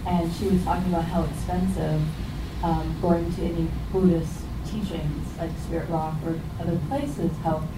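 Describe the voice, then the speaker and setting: A woman's voice: on the low side, steady tone, normal speed. A woman sharing in a group discussion, talking hesitantly and rambling, with many restarts. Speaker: meeting participant. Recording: echoey, distant mic in a noisy room.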